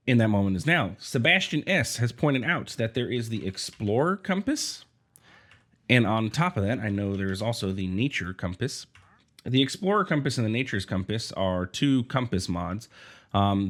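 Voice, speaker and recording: A man with a head cold, sounding congested, casually commenting on his gameplay as the host of a video series. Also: on the low side. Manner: chatty, rambling, friendly asides. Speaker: let's play host.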